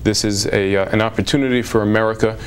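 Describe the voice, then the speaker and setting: Measured, formal male lawyer. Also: on the low side, steady tone, slight background noise. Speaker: immigration lawyer. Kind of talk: TV interview.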